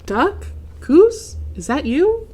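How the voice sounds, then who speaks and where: Chirpy storybook duck girl in costume, very high; woman reading. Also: big pitch swings, takes it slowly, warm tone, a little hiss or hum, heavily acted. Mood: playful. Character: swamp monster, really a costumed duck girl.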